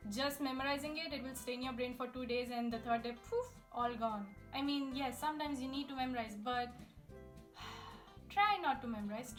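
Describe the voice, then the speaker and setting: High-voiced chatty woman. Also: a bit bright. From India. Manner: chatty, upbeat, talks straight to viewers. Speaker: language-learning YouTuber. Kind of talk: casual tips video to camera. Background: guitar music.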